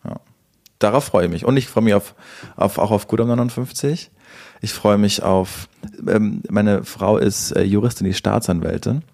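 A man's voice: on the low side, normal speed, vocal fry, a little mellow. A man chatting casually as the host of a podcast. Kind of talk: casual chat.

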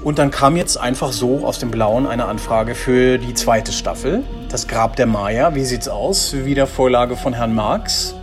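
A man's voice: medium pitch, a little slow, over music. A man speaking as an interviewed scriptwriter in casual, anecdotal talk.

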